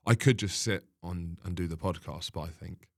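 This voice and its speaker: A man in casual conversation as a podcast guest and comedian, wry and bantering, quick to laugh. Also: deep voice, creaky.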